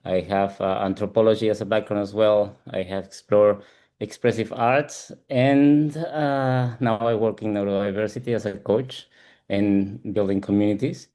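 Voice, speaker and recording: A man from Peru with a Peruvian Spanish accent, giving reflective, halting explanations as an interview guest and often checking in with "right?". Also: on the low side, fairly even intonation, dark tone. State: frequent throat clearing. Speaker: ADHD coach and support group founder, interview guest.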